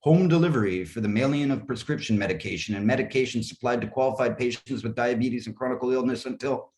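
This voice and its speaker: A man, a writer, reading aloud in an expressive literary style, with dramatic phrasing. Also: mid-range voice, quick pace, a little hiss or hum. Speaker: writer reading own piece. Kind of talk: literary reading of a first-person story. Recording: roomy, echoing sound.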